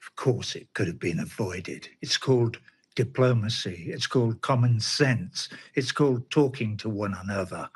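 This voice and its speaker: A man's voice: on the low side, moderate pace, breathy edge. A man delivering a spoken essay monologue in a sardonic tone, often asking himself rhetorical questions. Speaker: commentator.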